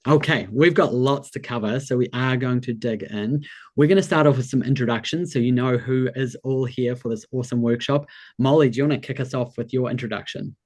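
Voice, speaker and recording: A man's voice: mid-range voice, brisk, a little mellow. A man with a New Zealand accent hosting an online workshop over a video call, enthusiastic and encouraging as he greets attendees and reads out the chat. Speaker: workshop host and business coach. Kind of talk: upbeat webinar hosting.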